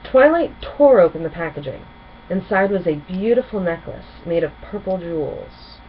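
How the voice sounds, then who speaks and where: A woman's voice: on the low side, expressive, moderate pace. A woman narrating a children's storybook expressively as a storyteller. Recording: muffled home recording with clear noise.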